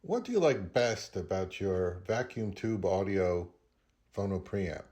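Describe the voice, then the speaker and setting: A man's voice: sits low, lively intonation, measured pace, darkish tone. A man speaking as the host of an audio review, interviewing and narrating.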